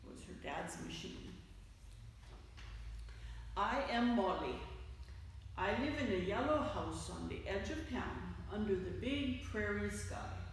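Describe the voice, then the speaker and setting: A woman reads a children's story aloud at a slow, careful pace, stressing key words expressively. She sounds set back from the microphone in a very echoey hall, and her voice sits at a middle pitch. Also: animated. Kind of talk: read-aloud picture book storytelling for children. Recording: echoey hall, distant mic, faint noise.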